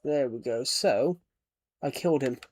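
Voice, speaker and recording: A man's voice: mid-range voice, a little slow, darkish tone, no echo. Rambling British man chatting on stream. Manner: rambling, chatty, reads viewer comments and names aloud. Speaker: livestreamer. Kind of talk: casual livestream chat while playing a game.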